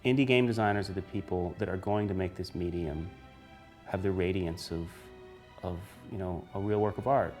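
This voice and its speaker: A man speaking reflectively in an interview, with measured and articulate phrasing. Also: sits low, fairly even intonation, darkish tone, faint music behind. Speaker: video game interviewee.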